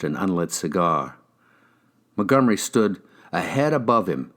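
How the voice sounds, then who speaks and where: Low-pitched man narrating crime fiction. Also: a bit bright. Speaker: actor reading an audiobook. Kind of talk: scripted first-person crime fiction narration.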